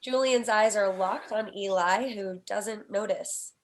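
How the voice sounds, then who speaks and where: A woman's voice: mid-range voice, takes it slowly, slight background noise. A woman reading stage directions in scripted screenplay narration.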